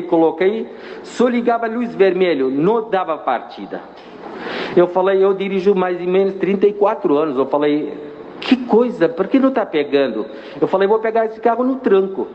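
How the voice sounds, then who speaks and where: A middle-aged man with a slightly high voice recounts his faith story, earnest and halting in a language not his own. The recording is dull and carries a long reverb tail. Faint noise hums underneath. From Iraq. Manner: earnest, halting non-native Portuguese. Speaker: church member giving testimony. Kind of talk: personal religious testimony.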